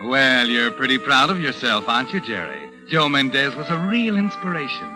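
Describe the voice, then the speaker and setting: A man plays a sly, unseen storyteller in a 1940s radio thriller. He narrates straight at the culprit in a mocking, mid-pitched voice, with music playing clearly behind him. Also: very animated, normal speed, lightly acted, poor audio. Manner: taunting, addresses the character as "you". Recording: old 1940s radio recording. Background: dramatic music.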